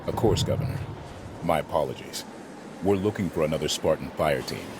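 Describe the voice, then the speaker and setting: A man's voice: a bass voice, expressive, fairly quick, very noisy. An actor playing a composed, businesslike male super-soldier squad leader in a video game, speaking formally and tersely. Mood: calm, polite. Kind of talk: video game cutscene acting.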